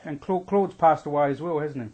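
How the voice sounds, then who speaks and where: A man's voice: fairly high pitch, moderate pace, dark tone, highs cut off. A man from Adelaide with an Australian accent, interviewing a guest in a chatty way and often repeating words as he starts. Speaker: radio interviewer. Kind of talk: casual radio interview.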